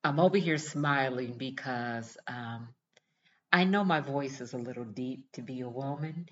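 A woman's voice: rumbling low, unhurried, highs cut off. An older woman telling a personal story in a casual, chatty self-help vlog, often laughing as she talks. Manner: chatty, laughs easily, animated retelling.

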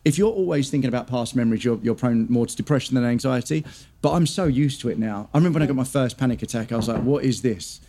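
A man telling a story quickly and animatedly in a casual podcast chat. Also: medium pitch, mellow, slight background noise. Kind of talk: casual conversation.

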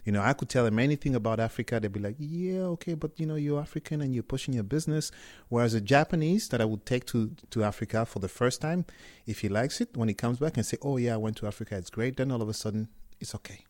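A man's voice: medium pitch, fast talker, darkish tone, no echo. A man from Cameroon, a startup founder, answering interview questions candidly with hesitant restarts.

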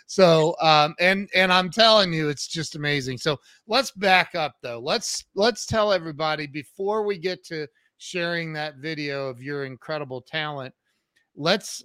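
A man's voice: fairly high pitch, moderate pace, pushed and bright, no room sound. Effusive man hosting, dry close voice. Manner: effusive, hyping, repeats himself. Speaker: host. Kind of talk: casual interview.

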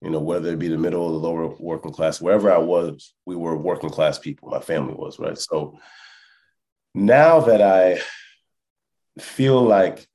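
Very low-voiced man, reflective and halting. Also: darkish tone. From born in France, lived in Canada and Texas, Congolese family. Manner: reflective, halting, often checks the listener follows. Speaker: hip hop musician, interview guest. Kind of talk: casual podcast interview.

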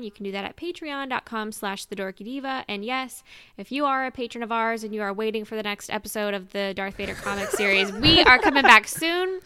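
A woman's voice: on the high side, sharp tone, smooth, no room sound. A woman hosting a casual fan podcast, chatting informally. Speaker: podcast host.

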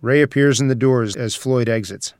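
A man's voice: mid-range voice, moderate pace, a little mellow, no echo, clean studio sound. A man reading stage directions as scripted narration for a screenplay table read.

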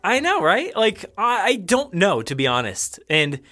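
High-pitched Jersey man hosting a podcast. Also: huge pitch swings, unhurried, sharp tone, faint music behind. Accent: New Jersey. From New Jersey, USA. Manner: jokey, self-deprecating. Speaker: podcast host. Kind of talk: casual, rambling podcast chat.

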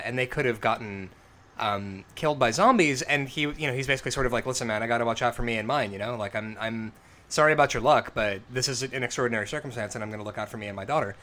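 Man with a smoke-roughened voice. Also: mid-range voice. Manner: animated, swearing, acts out quotes. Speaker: podcast co-host. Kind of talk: casual film podcast chat.

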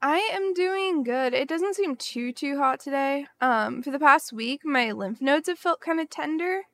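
Bright, high-voiced woman, expressive. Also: unhurried. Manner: expressive, says dude a lot. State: recovering voice. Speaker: podcast guest, streamer. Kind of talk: casual chat.

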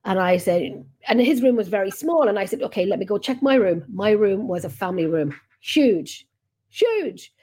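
A woman's voice: medium pitch, animated, moderate pace, darkish tone, slightly rough. A woman with an Irish accent hosting a casual chat over a video call, telling long rambling stories and interrupting herself often. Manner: chatty, rambling, self-interrupting storyteller. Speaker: podcast host and paranormal investigator.

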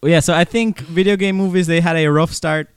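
A man's voice: fairly high pitch, steady tone, fairly quick, clear, resonant tone, no echo, studio quality. A man co-hosting a film podcast, chatting casually. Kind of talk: casual chat.

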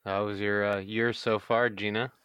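A man's voice: on the low side, flat delivery, normal speed, fairly bright tone, clean tone, dry recording, muffled recording. A man co-hosting a casual podcast, talking loosely and hesitantly with plenty of fillers. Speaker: podcast co-host.

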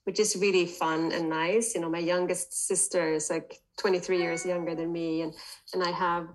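Chatty woman, lower voice. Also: moderate pace, a little hiss or hum, low-quality recording. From Sweden. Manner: relaxed, chatty, frequent fillers and laughs. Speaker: guest. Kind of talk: casual chat.

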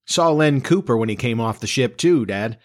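A man voicing an eager, starry-eyed nineteen-year-old farm boy in a storybook, with an excitable, folksy, boyish manner. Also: medium pitch, normal speed, voice pushed up, close to an everyday voice.

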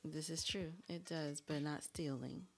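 A woman's voice: sits low, steady tone, a little slow, vocal fry, slight background noise. A woman from California with an American accent, hosting a casual podcast with playful, teasing banter. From California, United States. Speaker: podcast host asking questions.

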